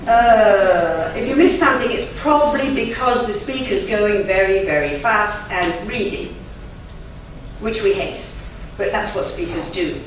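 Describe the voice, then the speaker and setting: A woman whose first language is German, a conference interpreter giving an emphatic, chatty lecture to a live audience with lively asides. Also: medium pitch, measured pace. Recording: very echoey hall, from far off, faint noise.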